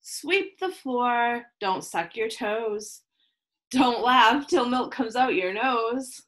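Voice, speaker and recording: A woman in her thirties reading a children's picture book aloud in slow, sing-song rhymes, recorded on a webcam at home. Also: on the high side, lively intonation, fairly bright tone. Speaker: children's read-aloud host. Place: home room with plants, indoors.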